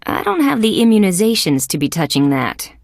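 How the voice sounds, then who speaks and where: A woman's voice: mid-range voice, sing-song, a little fast, gravelly, a little mellow, no echo. A woman voicing a wry, sardonic female insect police detective in a video game, with dry, sarcastic wisecracking delivery. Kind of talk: scripted adventure game voice acting.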